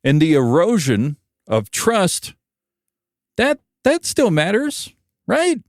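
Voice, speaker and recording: A man's voice: sits high, huge pitch swings, slow, dry recording, clean studio sound. An American man hosting a podcast, delivering a conversational opinion monologue in a wry, emphatic way. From United States. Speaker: podcast host, broadcast engineer.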